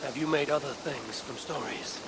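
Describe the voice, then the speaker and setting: An actor playing an earnest, curious Viking warrior leader in a video game, speaking deliberately. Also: male voice, mid-range voice, background noise, natural voice. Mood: wondering. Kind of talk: video game cutscene acting.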